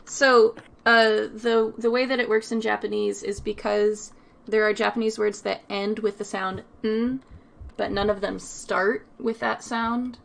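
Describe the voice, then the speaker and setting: A woman's voice: medium pitch, little pitch movement, a little slow, vocal fry. A woman chatting casually on an online voice call, blunt and teasing, stopping to correct the others. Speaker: stream co-host. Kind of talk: casual group chat on a voice call. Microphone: online voice chat mic.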